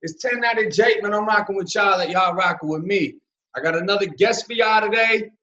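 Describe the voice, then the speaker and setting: A young man with a high voice hosts in a relaxed, friendly way, leaning on street slang. The room is echoey, and the recording sounds dull and low-fidelity. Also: a little fast. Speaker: podcast host, former prisoner. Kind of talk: casual interview.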